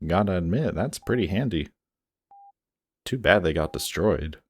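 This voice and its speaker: A man's voice: deep voice, animated, measured pace, slightly rough, exaggerated voice. A man acting a sarcastic, guarded villain in an audio roleplay, with a snarky, casual delivery that grudgingly shows care. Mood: curious, teasing. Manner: snarky, dry, casual teasing.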